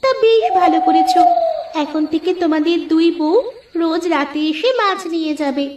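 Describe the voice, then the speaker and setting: A woman's voice: very high-pitched, normal speed, roomy sound, recorded from a distance, very noisy. A woman voicing a kindly, generous magical talking fish in a cartoon, speaking in a gracious, encouraging way. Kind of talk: cartoon voice acting.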